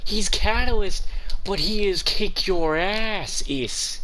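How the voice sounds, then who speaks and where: A man's voice: sits high, slow, noisy background. A man giving casual gameplay commentary as a gaming streamer, slangy and exclamatory, given to swearing.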